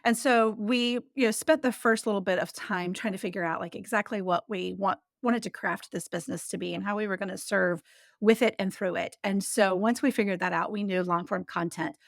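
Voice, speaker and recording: A woman's voice: mid-range voice, fairly even intonation, a little fast, creaky, dry recording, studio quality. A woman in her forties, a business coach guesting on a podcast, telling stories in a chatty way with frequent laughs. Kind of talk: casual interview chat.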